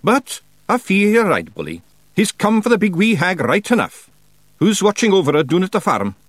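Bold little Scottish clan chief, high-pitched. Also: male voice, wildly swooping pitch, normal speed, dry recording, full character voice. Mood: exasperated. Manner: emphatic, rousing dialect speech. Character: small bold Scottish fairy clan leader, commanding and weary.

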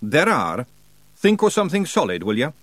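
Man voicing a tiny Scottish fairy chief, raised pitch, bouncy intonation, gruff, bantering, storybook character. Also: huge pitch swings, moderate pace, no echo. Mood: grim, urgent. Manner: blunt, bantering dialect speech.

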